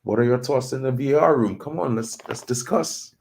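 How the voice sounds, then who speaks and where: A man's voice: mid-range voice, fairly quick, gravelly, darkish tone, husky. A man from Trinidad and Tobago hosting a casual football news show, chatty and loose, thinking aloud with plenty of "you know".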